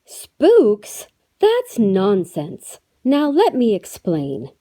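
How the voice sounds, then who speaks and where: A woman voices a sensible female bear scout leader from a storybook. She speaks very slowly with a mellow tone and plenty of lift and fall, confident and a little playful. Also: medium pitch, wildly swooping pitch, heavily acted. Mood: reassuring. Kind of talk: children's storybook read-aloud.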